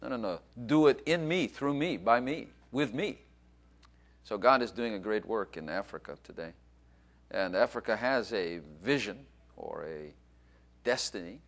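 A man's voice: fairly low pitch, expressive, a little slow, fairly bright tone. A man, a pastor hosting the service, preaching urgently with repeated phrases and introducing his guest. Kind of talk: sermon.

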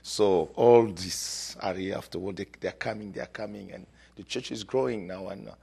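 Deep husky-voiced Congolese pastor. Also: male voice, dark tone. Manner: fervent, halting with many fillers. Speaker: guest pastor. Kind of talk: church testimony.

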